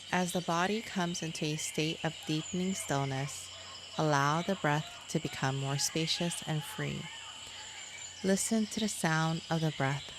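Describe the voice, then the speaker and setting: A woman guiding a yoga nidra relaxation in a measured, soothing manner, as a scripted meditation. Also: low voice. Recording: clear insect and nature noise behind.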